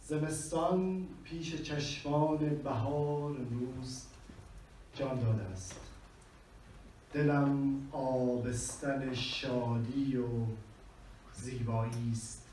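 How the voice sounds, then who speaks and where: A man with a Persian accent reading poetry slowly on a small stage, with measured, dramatic pauses. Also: mid-range voice, fairly even intonation, dark tone, slight background noise. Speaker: poet. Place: small venue with a live audience. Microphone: handheld mic on a stand, recorded from far in the room. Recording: echoey room from far off.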